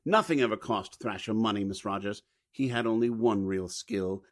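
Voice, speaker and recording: A man's voice: lowish voice, normal speed, close to an everyday voice. A man playing a courteous but aggrieved businessman with a formal, polite manner, in 1940s radio drama style. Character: courteous, aggrieved businessman, a wholesale leather dealer.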